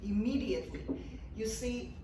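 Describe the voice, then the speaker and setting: A woman's voice: on the high side, moderate pace, warm tone. A woman co-host who speaks emphatically, lecturing and repeating her key points in a panel discussion. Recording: echoey room from far off, faint noise.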